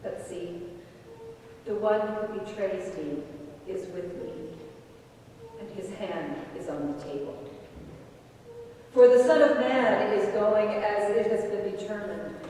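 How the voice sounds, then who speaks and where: A woman reading scripture solemnly and deliberately during a church service. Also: mid-range voice, mellow. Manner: measured, deliberate phrasing. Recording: distant, very echoey church, noisy.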